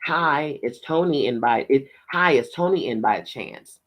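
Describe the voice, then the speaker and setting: A man's voice: mid-range voice, expressive, normal speed, a bit bright. A man voicing a dry, evasive middle-aged small-town husband, casual and wry, in a story read aloud.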